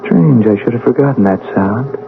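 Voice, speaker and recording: An actor playing an awestruck scientist out of his body, halting and dramatic, in an old-time radio drama. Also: medium pitch, lightly acted. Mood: bewildered, grave. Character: awestruck scientist floating outside his own dead body. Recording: narrow-band, noisy old radio broadcast.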